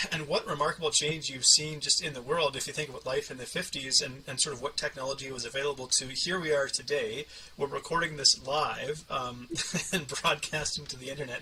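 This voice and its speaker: A man's voice: on the high side, fairly quick, sharp tone, distant mic, slight background noise, rough recording. A man hosting an interview podcast and talking conversationally with a guest. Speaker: podcast host and coach.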